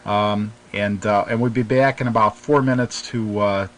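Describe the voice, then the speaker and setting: A man's voice: lowish voice, steady tone, moderate pace. A man hosting a live internet radio show in a casual, hesitant, informal way.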